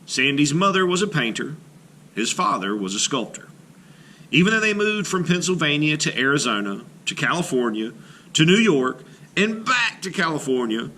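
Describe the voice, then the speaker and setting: Male art teacher reading a storybook to kids, bright and animated, faint room noise behind. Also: on the high side. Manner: expressive storybook reading, slowing on key phrases. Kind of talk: children's read-aloud.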